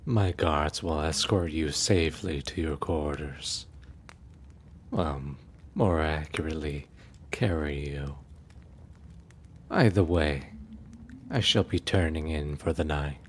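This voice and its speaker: A man's voice: a bass voice, takes it slowly, long pauses, slight background noise. A man playing a suave, menacing immortal prince in an ASMR roleplay, speaking in a formal, courtly, teasing and possessive way. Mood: calmly menacing.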